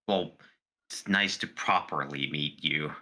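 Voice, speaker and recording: A man playing a tabletop roleplaying game, speaking in character with hesitant pauses and many fillers. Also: rumbling low, bright, forceful tone. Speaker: player.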